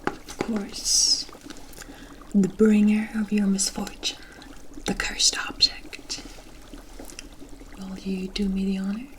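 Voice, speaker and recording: A woman playing a kindly forest witch in an ASMR roleplay, gentle and reassuring, with formal storybook phrasing. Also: medium pitch, little pitch movement, slow pace, pauses often. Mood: gentle, confiding. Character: kindly forest witch brewing a protective potion.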